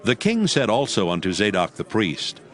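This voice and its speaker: A man's voice: fairly low pitch, wildly swooping pitch, moderate pace. A man narrating scripture from a script in a solemn, formal storytelling manner. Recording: loud music under the voice.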